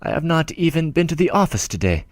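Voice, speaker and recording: A humble, timid old clerk writes tenderly, rambling and breaking off mid-thought, as a male audiobook reader gives him voice. His delivery swings widely in pitch. The recording is dry and close. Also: very animated, quick pace, no room sound. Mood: tender fretful. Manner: rambling, self-interrupting, tender. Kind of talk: audiobook letter reading.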